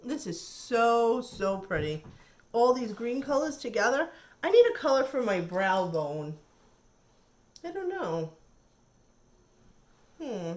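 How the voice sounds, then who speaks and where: A woman's voice: fairly high pitch, very animated, slow pace, long pauses, darkish tone. A woman chatting casually through a makeup tutorial, thinking aloud and admiring the colors, her voice a little nasal from a cold. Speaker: beauty vlogger.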